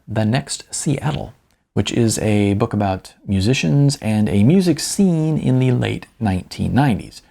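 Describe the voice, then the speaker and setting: A man's voice: lowish voice, creaky, warm tone. A man narrating his own novella as an audiobook in the first person, with a wry, understated delivery and chatty asides.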